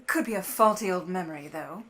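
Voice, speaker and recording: An actress playing a poised, mischievous 1950s starlet in a radio play, arch and teasing. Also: female voice, fairly low pitch, a little fast, fairly bright tone, natural voice. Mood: arch, amused. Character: poised, mischievous Hollywood starlet and secret burglar.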